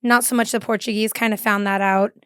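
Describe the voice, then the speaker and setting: Guest, woman in her thirties from San Diego, smooth higher voice, dry sound, relaxed and easygoing. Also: a little fast, dry recording. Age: 30s. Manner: easygoing, many fillers and yeahs. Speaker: podcast guest. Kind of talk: casual interview chat.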